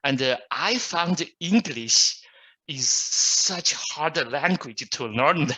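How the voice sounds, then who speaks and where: A man's voice: on the high side, sharp tone, low-quality recording. A man from China with a Mandarin accent, his first language, introducing himself as a returning guest at an online club meeting in a hesitant way, with many fillers. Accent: Mandarin Chinese. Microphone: video call.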